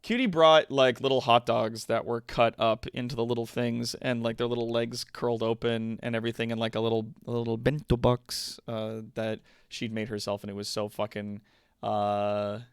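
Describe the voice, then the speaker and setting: Casual man streamer, low smooth voice. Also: moderate pace, no echo, clean studio sound. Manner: sweary, animated asides. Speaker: livestream host. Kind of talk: casual chat with stream viewers. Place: indoor home streaming room.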